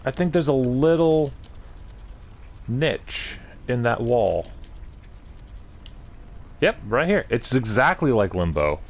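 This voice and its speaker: A man's voice: mid-range voice, very animated, takes it slowly, pauses often. A man hosting a gaming video, giving casual playthrough commentary and muttering reactions as he plays.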